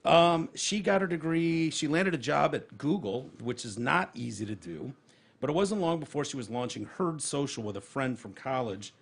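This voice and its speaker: Gravelly, husky American male podcast co-host. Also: medium pitch, expressive, moderate pace. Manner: irreverent, dry, profane. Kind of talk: casual chat, reading out profiles and gossip items.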